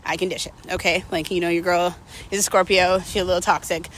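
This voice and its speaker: A woman's voice: fairly low pitch, flat delivery, quick pace, fairly bright tone, a little hiss or hum. A woman talking casually and candidly to viewers as a vlogger while walking, slightly out of breath, with self-mocking asides and rhetorical questions. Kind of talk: casual confessional chat to viewers.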